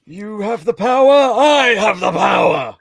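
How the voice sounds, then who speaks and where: A man's voice: high voice, lively intonation, unhurried, gravelly, no room sound, clean studio sound, heavily acted. A man playing a menacing, petulant sci-fi villain in a live comedic script read, with theatrical, exaggerated delivery. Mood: furious, boastful.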